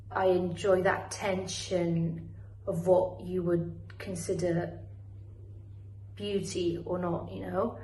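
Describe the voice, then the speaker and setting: Slow, measured woman with British accent. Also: on the low side, a little mellow, echoey room, slight background noise, rough recording. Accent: British English. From Spain. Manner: thoughtful, measured, with small restarts. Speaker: artist presenting her work. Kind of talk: recorded video message.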